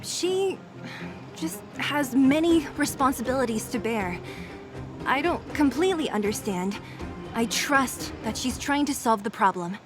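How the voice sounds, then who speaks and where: A woman's voice: high-pitched, lively intonation, a little slow, faint music behind. A woman playing a brusque, guarded young female fighter in a video game, speaking in a curt way. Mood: guarded, earnest.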